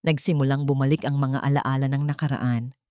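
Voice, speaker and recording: A woman's voice: extremely low pitch, flat delivery, a little fast, warm tone, dry recording. A woman narrating a scripted romantic drama story in a dramatic storytelling style.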